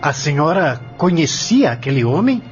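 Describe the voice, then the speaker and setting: A man's voice: on the high side, big pitch swings, moderate pace. A man playing a formal, probing lawyer who questions a witness politely but insistently in an audio drama. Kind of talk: audio novel acting. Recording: dull lo-fi audio with music bed. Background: music.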